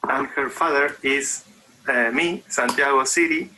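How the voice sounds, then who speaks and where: A man's voice: medium pitch, measured pace, pushed and bright, a little hiss or hum, low-quality recording. A man in his thirties from Argentina, narrating a home video in a friendly, matter-of-fact way. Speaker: father presenting a video.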